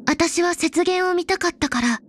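A woman voicing an aloof young female snowfield explorer in a game, speaking low-key and softly deadpan. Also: normal speed, a little hiss or hum. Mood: laconic. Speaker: game character voice. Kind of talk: game voice lines, Japanese dub.

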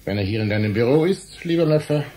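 An actor playing an indignant, wry middle-aged uncle and science writer in a German radio play, eloquent and blustering. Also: male voice, medium pitch, expressive, a little fast, warm tone, close to an everyday voice, poor audio. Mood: wry, explaining. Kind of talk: German radio drama acting.

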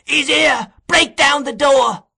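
A man playing a shrill, frantic young boy. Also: animated, measured pace, bright, forceful tone, husky, heavily acted. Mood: screaming. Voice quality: shrill, shrieking. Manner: frantic, emphatic exclamations. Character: terrified, defiant young boy screaming accusations at a murderer.

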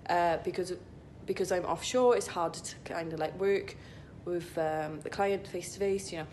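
A young woman vlogs in a chatty, rambling way, with plenty of filler "likes". Her voice sits a little low. It is recorded on a phone at arm's length in a locker room, with faint rumbling noise underneath. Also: moderate pace, darkish tone. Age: young adult. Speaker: vlogger, IT consultant. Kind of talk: casual vlog chat to camera. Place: indoor gym locker room.

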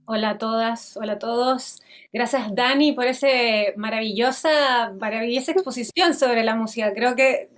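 A Chilean woman in her thirties speaks in a fairly high voice, explaining things informally over a video call. She searches for words as she goes, often repeating a small word before finishing the thought. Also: normal speed. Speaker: composer and company collaborator on a panel. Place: indoor room at home, on a video call.

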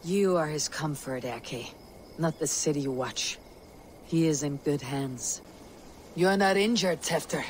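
A man playing a stoic, steadfast Viking warrior leader in a video game, speaking in a measured, solemn way. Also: a little high, slight background noise, natural voice. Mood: calm, grave. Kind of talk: dramatic video game cutscene acting.

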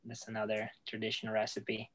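A man's voice: lowish voice, monotone, a little fast, fairly bright tone. A young man with an Italian accent and Italian as his first language, a guest on a casual video call, speaking hesitantly and searching for words. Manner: hesitant, many fillers and restarts. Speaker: guest cook. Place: home room with bookshelves. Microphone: video call, webcam.

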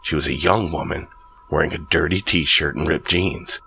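Very deep gravelly male horror narrator. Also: fairly even intonation, moderate pace, bright, forceful tone. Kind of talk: scripted first-person horror narration.

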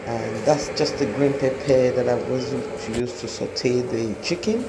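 A woman's voice: very deep voice, steady tone, unhurried, noisy background. A middle-aged woman hosting a home cooking demonstration, explaining each step to viewers in her kitchen. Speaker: cooking show host.

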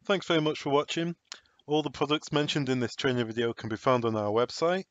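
A man working as a technical trainer, talking through a software tutorial step by step in an informal, hesitant way, as if thinking aloud. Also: medium pitch, a little fast, dry recording, lo-fi sound.

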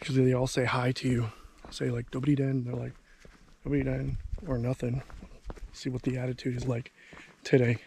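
A man's voice: mid-range voice, moderate pace, vocal fry, long pauses, slight background noise. Rambling man vlogging on a country walk. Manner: rambling, relaxed, thinking aloud with fillers. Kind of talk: casual walking vlog. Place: outdoors on a country road between fields. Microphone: handheld camera at arm's length.

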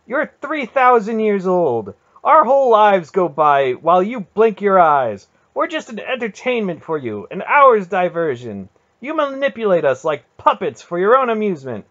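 A man's voice: high voice, big pitch swings, moderate pace, few pauses, a bit bright, dull audio, voice pushed up. A man voicing a defiant, hurt adolescent boy in an audiobook, heated and protesting, with a strained delivery. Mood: furious. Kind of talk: dramatic audiobook character voice.

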